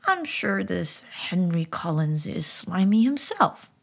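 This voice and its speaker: A woman voicing a small, kind talking frog that speaks warmly and with amusement. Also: lowish voice, sing-song, slow pace, vocal fry, breathy edge, full character voice. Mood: gentle amused. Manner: warm, amused, whispery.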